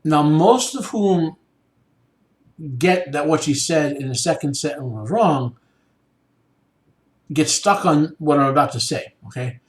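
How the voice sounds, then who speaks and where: A male podcast host gives informal, explanatory commentary. He speaks haltingly and often checks in with the listener, in a strongly echoing room. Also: fairly high pitch, animated. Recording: echoey room sound.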